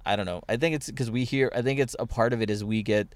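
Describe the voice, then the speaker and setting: A man's voice: medium pitch, fairly even intonation, fast talker, no room sound. A young man hosting a podcast, telling stories in casual chat with lots of fillers and restarts. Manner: rambling storytelling, many restarts and fillers. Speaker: podcast host.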